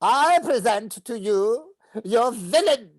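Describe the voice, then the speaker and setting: A woman voicing an eager, excitable cartoon beetle, theatrical and keen to please. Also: a little high, takes it slowly, poor audio. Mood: excited. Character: small, eager, excitable husky beetle, would-be showman. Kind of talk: animation table read.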